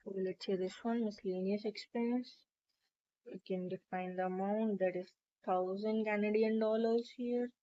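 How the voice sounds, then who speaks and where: A woman narrating a step-by-step software tutorial in an instructional, explanatory way. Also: mid-range voice, fairly even intonation, normal speed. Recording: dull, lo-fi microphone sound.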